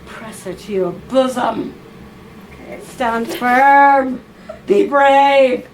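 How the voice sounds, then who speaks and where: A woman's voice: high voice, drawn-out words. A woman reading a passage aloud at a live salon and playfully directing two volunteers, laughing and coaxing as she goes. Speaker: reader at a writers' salon. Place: live event room with audience. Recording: noisy live room recording.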